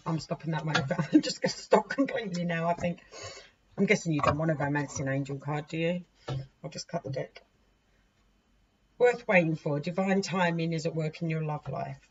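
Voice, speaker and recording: Woman, 40s, hoarse, chatty tarot reader, self-mocking, dull lo-fi sound. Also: low-pitched, normal speed, long pauses. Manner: chatty, laughs at herself, repeats and restarts. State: hoarse, losing her voice. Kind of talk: casual tarot reading to camera. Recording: dull lo-fi recording with faint noise.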